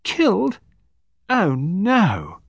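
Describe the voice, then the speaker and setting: A man voicing a fragile, fatalistic older aristocratic woman, soft, slow and gentle, in an audiobook. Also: high-pitched, huge pitch swings, creaky, husky, no room sound, studio quality, pitched above the performer's own voice, heavily acted. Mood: gentle, dreamy.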